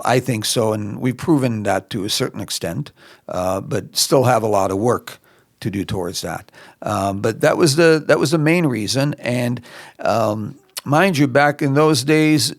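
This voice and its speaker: Gravelly middle-aged man, reflective guest. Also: medium pitch, no room sound, clean studio sound. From Lebanon. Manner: reflective, many fillers and restarts. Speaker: musician guest. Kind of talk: relaxed interview answers. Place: podcast studio.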